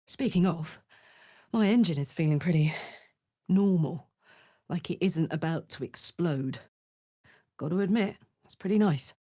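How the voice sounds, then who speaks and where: A woman voicing a brash, warm-hearted, battle-hardened fantasy warrior in a video game, with a blunt, earthy, emotive delivery. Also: on the low side, animated, unhurried, pauses often, no room sound, clean studio sound. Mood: upbeat. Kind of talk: video game character voice acting.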